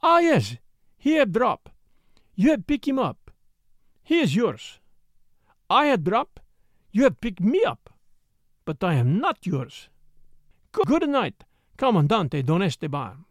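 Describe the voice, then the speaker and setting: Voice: medium pitch, huge pitch swings, slow pace, pauses often, no room sound, clean studio sound. A narrator voicing a pert, childlike young Mexican woman in a storybook, speaking broken English with a Spanish accent and a teasing lilt. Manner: saucy, lilting, childlike.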